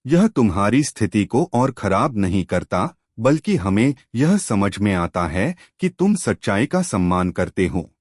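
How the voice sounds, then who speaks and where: A man's voice: fairly low pitch, big pitch swings, unhurried, darkish tone, no room sound, studio quality. A man voicing a stern but fair, formal company boss in a moral story. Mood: approving.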